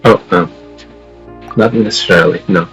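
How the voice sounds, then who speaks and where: A man's voice: low voice, moderate pace, a bit bright, soft background music. A man who streams games, reading along and reacting to what is on screen. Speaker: game streamer.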